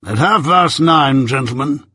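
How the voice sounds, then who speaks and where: Guy doing a bossy older lawyer in an audiobook, slow heavy emphasis, husky, dry sound. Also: fairly high pitch, animated, pushed and bright, no echo, full character voice. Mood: curt, formal. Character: domineering, deliberate older male lawyer and guardian. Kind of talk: audiobook character voice.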